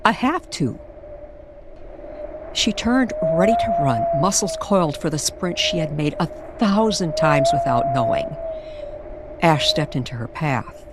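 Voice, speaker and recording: Slow, expressive woman storyteller over background noise. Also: fairly low pitch. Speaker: storyteller narrator. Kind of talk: dramatic scripted narration of a paranormal radio story.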